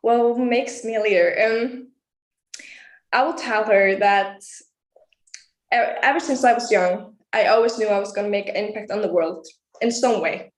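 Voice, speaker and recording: A woman's voice: mid-range voice, normal speed, roomy sound, low-quality recording. An eighteen-year-old woman from Iceland, a pageant contestant answering interview questions earnestly and laughing often. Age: young adult.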